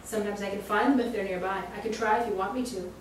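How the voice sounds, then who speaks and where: Casting: woman reader playing a blunt, businesslike female psychic, calm and sure of herself, heard in a very echoey room. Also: mid-range voice, quick pace, natural voice. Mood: calm, assured. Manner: calm, assured, matter-of-fact. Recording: echoey room, distant mic, faint noise.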